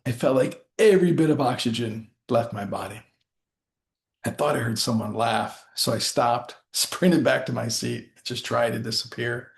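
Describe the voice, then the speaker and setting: A man giving a rehearsed motivational speech as a storyteller, speaking deliberately in short phrases with dramatic pauses. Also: mid-range voice, vocal fry, darkish tone.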